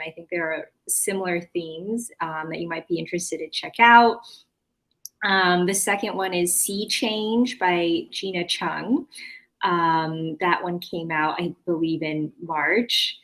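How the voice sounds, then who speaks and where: American female author as interview guest, lowish pitch, casual, hesitant, self-interrupting delivery. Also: fairly bright tone, low-quality recording. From California, United States.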